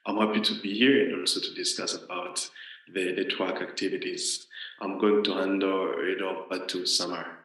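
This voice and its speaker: A man's voice: low-pitched, level tone, normal speed, fairly bright tone. A man from the Democratic Republic of Congo, a global network leader, giving a short, plain introduction. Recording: echoey, distant call audio.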